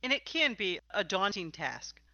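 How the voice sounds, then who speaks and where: A woman hosting a conversational interview, talking in an animated, questioning way. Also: mid-range voice, normal speed, no room sound, lo-fi sound. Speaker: podcast host and interviewer.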